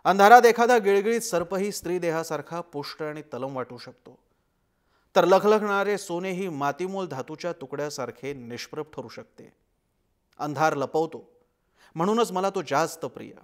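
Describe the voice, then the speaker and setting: A man giving a dramatic, scripted literary reading with measured, expressive phrasing. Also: a little high.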